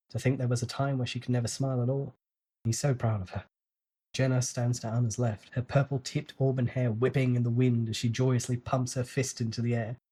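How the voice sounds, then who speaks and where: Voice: mid-range voice, dark tone, slightly rough. A narrator giving a dramatic, scripted reading of a novel.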